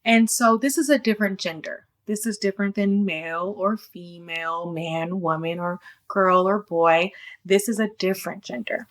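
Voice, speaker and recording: A woman reads a children's storybook aloud in a middle-pitched voice. She moves between narration and casual, friendly explanations for young listeners. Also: fairly even intonation, normal speed. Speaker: storybook reader.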